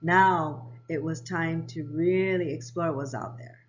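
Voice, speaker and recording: A woman of around 60, raised in Japan and Hawaii, narrating her own life story for a vlog in a measured, read-aloud way. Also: sits low, fairly even intonation, lo-fi sound, a little hiss or hum. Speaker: vlogger. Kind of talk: scripted personal narration.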